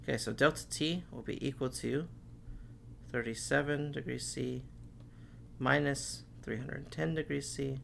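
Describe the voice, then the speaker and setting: Gravelly-voiced man losing his voice. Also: mid-range voice, fairly even intonation, unhurried, a bit bright, slight background noise. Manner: talks through problems step by step, saying okay often. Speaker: chemistry teacher. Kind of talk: recorded classroom lecture.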